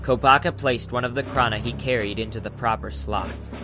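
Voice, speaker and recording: A man's voice: medium pitch, steady tone, fairly quick. Male audiobook narrator over prominent music. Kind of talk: scripted dramatic audiobook narration.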